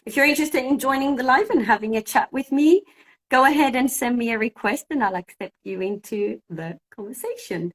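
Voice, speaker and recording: A fairly high-voiced woman from Cyprus, a native Greek speaker, teaching English on a phone live stream. She chats casually and talks through each technical hitch as it comes up. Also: a little fast. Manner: chatty, thinking aloud while fixing technical trouble.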